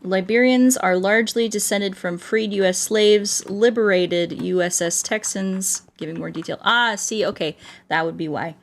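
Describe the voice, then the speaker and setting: A woman's voice: medium pitch, normal speed. A woman livestreaming a game, chatting casually in a goofy, playful, rambling way. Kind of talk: casual livestream chat while playing.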